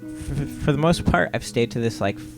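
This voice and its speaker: A man from Ohio, a comic artist guest, giving relaxed, rambling interview answers with lots of "uh"s. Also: medium pitch, a little fast, over music. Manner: laid-back, rambling with many "uh"s.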